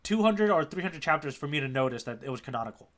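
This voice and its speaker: A man's voice: fairly high pitch, lively intonation, fast talker, a bit bright. A man co-hosting a casual manga podcast, talking with geeky enthusiasm in loose, slangy phrasing. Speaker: podcast co-host, the guide. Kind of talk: casual chat about a manga.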